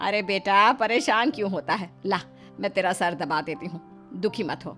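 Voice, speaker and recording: A woman's voice: mid-range voice, moderate pace, a bit bright, faint music behind, pitched below the performer's own voice, close to an everyday voice. A woman playing a caring but easily flustered middle-aged mother-in-law in a cartoon story, motherly and explanatory. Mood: soothing.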